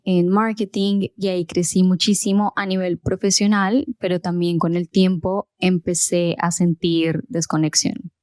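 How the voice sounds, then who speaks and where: A woman in her thirties speaks with a voice pitched a little low for a woman. She tells her own story as a podcast host, earnest and conversational, with small fillers here and there. The sound is close and dry, with no room around it. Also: mellow, clear, resonant tone, no echo. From Colombia. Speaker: podcast host and health coach. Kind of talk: personal storytelling monologue.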